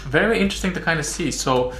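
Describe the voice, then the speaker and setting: A man's voice: fairly high pitch, quick pace, fairly bright tone. Man reviewing comedy in an echoey room. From Romania. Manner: repeats and rephrases, often tags sentences with "right?". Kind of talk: casual analytical review commentary. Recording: roomy echo with music behind.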